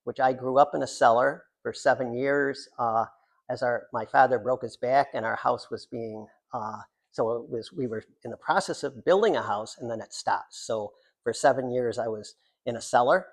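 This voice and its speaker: An older American man, a poet introducing his work at a reading in an informal, halting, digressive way with wry, self-deprecating humour. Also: mid-range voice, creaky. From Central New York, United States. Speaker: poet reading from own book. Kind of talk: informal author talk at a poetry reading.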